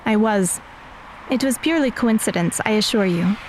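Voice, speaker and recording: A woman's voice: medium pitch, normal speed, a little hiss or hum, lightly acted, rough recording. A woman playing a composed, guarded teenage magical girl in an audio drama, precise and matter-of-fact. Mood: firm, composed.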